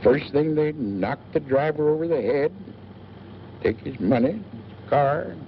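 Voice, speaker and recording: A man's voice: on the high side, lively intonation, unhurried, gravelly. An actor playing a chatty small-town newspaperman in an old film, with an American accent and a folksy, rambling manner in clipped sentences. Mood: musing, wary. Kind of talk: 1940s film acting. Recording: dull old film soundtrack, faint noise. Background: car engine.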